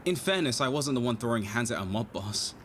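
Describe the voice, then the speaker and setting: A man's voice: medium pitch, lively intonation, brisk, a bit bright, a little hiss or hum, close to an everyday voice. An actor playing an anxious, earnest young amateur detective in an audio drama, flustered and precisely worded as he acts and narrates his story. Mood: guarded. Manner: flustered, stammering, protesting, precise wording. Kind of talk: audio drama acting with first-person narration.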